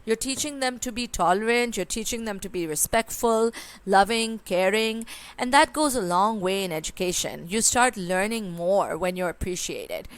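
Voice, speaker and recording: A woman's voice: mid-range voice, lively intonation, normal speed, smooth. A young woman, teaching speaking exam tips in a conversational way with many fillers. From Pakistan. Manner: conversational, with frequent fillers and rhetorical "right?". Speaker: IELTS speaking tutor. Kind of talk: exam tips lesson with sample answer.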